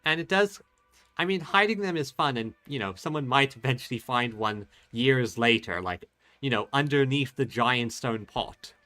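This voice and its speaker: A man's voice: mid-range voice, animated, moderate pace, bright, forceful tone. A man giving casual, rambling game commentary and reading dialogue aloud as he plays. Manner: rambling, hesitant thinking aloud. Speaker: game streamer.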